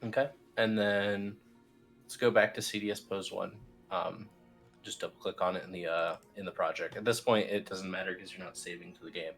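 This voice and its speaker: A man with a low voice guides someone through software one click at a time. His delivery is informal and a bit hesitant, like a colleague instructing over a screen. Faint music plays underneath. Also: steady tone, normal speed. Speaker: team lead explaining software steps. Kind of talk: casual step-by-step screen tutorial.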